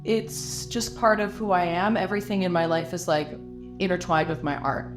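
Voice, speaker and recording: A woman from Lebanon talks about her paintings in a documentary interview, pausing and restarting as she works through her thoughts. Her voice sits a little on the low side. She is a working artist. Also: over music. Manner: reflective, halting, with frequent restarts.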